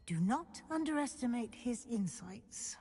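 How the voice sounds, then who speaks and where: An actress playing a composed, encouraging middle-aged professor of magic in a video game, speaking in a measured, formal, instructive way. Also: female voice, on the high side, expressive, mellow, breathy edge. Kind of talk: scripted video game dialogue. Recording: long hall-like reverb.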